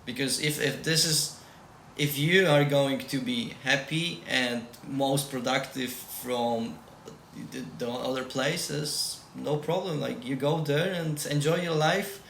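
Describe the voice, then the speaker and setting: A man's voice: mid-range voice, slow pace, vocal fry, fairly bright tone. A man from Poland with a Polish accent, a tech team lead giving casual interview answers and repeating key advice emphatically. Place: shared room. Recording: echoey room with faint noise.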